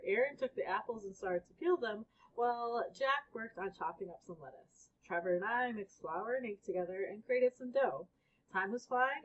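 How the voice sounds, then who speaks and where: A woman with a husky voice reading a children's book aloud as a storybook reader. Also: mid-range voice. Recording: dull, lo-fi recording.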